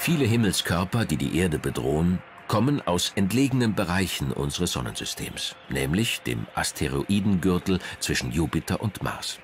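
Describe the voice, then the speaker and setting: Man, documentary narration, low voice, lively intonation, measured dramatic delivery, music behind. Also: slight background noise. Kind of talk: scripted narration.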